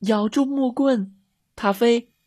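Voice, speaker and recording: Narrator voicing an excitable, high-pitched little girl. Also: slow pace, no echo, clean studio sound. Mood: urgent pleading. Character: two anxious, excitable little girls out dog-walking.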